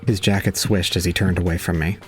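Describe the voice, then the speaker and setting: A man playing a detached, resigned young student, narrating solemnly and calmly in an audio drama. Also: deep voice, fairly quick, soft background music, close to an everyday voice. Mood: solemn, pitying. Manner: solemn, calm, unemotional. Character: detached, resigned young male student recounting his own ordeal. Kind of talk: scripted first-person audio drama narration.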